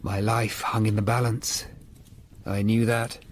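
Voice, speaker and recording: Male first-person drama narrator: Tudor lawyer, played by an actor, sombre, unhurried, faint noise behind. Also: fairly low pitch, little pitch movement, lightly acted. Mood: apprehensive.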